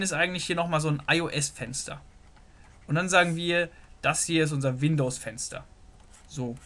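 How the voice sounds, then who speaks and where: A man's voice: fairly high pitch, sharp tone. A man teaching a programming lesson over a screen recording. He thinks aloud while he sketches, with many "so" fillers. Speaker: programming tutor.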